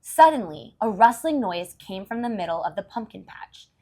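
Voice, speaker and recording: A woman's voice: medium pitch, very animated, normal speed, darkish tone. A woman reading a storybook aloud to young children, with encouraging, explanatory asides.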